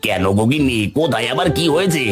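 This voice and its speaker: A man's voice: mid-range voice, animated, quick pace, natural voice. Man voicing a grumbling fisherman husband. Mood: doubtful. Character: fisherman husband, middle-aged, easily impatient. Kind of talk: cartoon voice acting. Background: background music.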